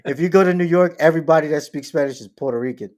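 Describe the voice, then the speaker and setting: Animated male co-host telling stories. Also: fairly high pitch, rapid-fire. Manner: animated storyteller, laughs, playful swearing. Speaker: podcast co-host. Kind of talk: casual storytelling chat.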